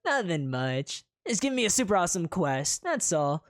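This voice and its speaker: A man's voice: high-pitched, big pitch swings, normal speed, dry recording, studio quality, pitched above the performer's own voice. A storyteller voicing a cheeky, boastful young male commoner hero who teases his rival. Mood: cocky.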